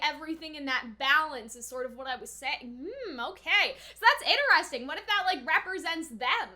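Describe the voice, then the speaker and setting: A young woman with a very high, bright voice. She talks as a casual reaction host, thinking out loud in loose, slangy phrases with a giggly streak. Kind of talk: casual chatty reaction commentary.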